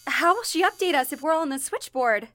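A woman's voice: high voice, lively intonation, fast talker, fairly bright tone, faint music behind. A woman playing an anxious young telephone operator in an old-time radio play. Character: anxious young female operator, worried about family.

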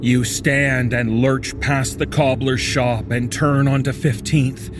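Casting: male storyteller for horror fiction, very slow, intense, deliberate delivery over prominent music. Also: medium pitch, steady tone. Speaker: story narrator. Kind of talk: dramatic scripted horror narration. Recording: prominent music bed behind the voice.

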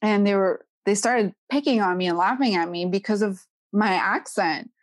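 A woman's voice: medium pitch, normal speed, no room sound, studio quality. Chatty woman with a Quebec accent. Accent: Quebec French. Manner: chatty, fills with "you know" and "right?". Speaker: guest. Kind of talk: casual podcast conversation.

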